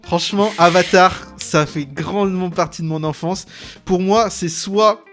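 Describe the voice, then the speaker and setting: Jeune homme français à la voix assez aiguë. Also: male voice, sits high, a little slow, fairly bright tone, faint music behind. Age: young adult. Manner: playful, slangy. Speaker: YouTube host. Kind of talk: casual chat ranking childhood cartoons.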